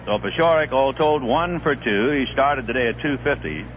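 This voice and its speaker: A man calling a baseball game play by play on radio, with a relaxed storytelling delivery that rises sharply on big plays, heard from an old 1970s broadcast. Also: medium pitch, normal speed, highs cut off, a little hiss or hum. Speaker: play-by-play announcer. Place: broadcast booth at a stadium.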